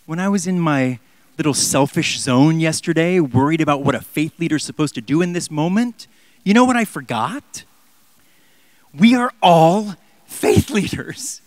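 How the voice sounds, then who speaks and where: A man in his thirties preaching a sermon in a church on a handheld mic, impassioned, swinging from loud emphatic peaks down to near-whispers. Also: on the high side, no room sound. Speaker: pastor. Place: large church sanctuary.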